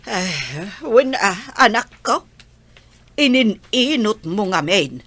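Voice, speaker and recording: A woman acting as a motherly older woman in an audio drama, gently questioning. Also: mid-range voice, very animated, takes it slowly, slightly rough, heavily acted. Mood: gentle questioning.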